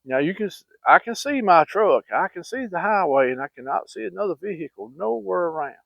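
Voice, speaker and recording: A man's voice: sits high, lively intonation, brisk, creaky, no room sound. A middle-aged man telling a rambling, folksy story with many fillers and restarts. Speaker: channel host telling hunting stories. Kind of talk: casual storytelling. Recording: dry, phone-like sound.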